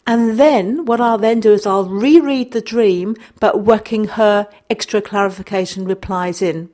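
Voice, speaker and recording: A woman hosting a podcast about dreams, calmly explaining her method and reading a listener's email aloud. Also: mid-range voice, unhurried. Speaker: podcast host and dream interpreter. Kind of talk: solo podcast narration.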